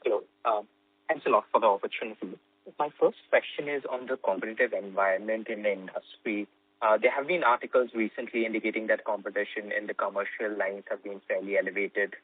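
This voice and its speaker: A man speaks as a financial analyst, putting questions politely and a little haltingly on a conference call. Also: on the low side, steady tone. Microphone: phone. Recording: narrow, dry phone line.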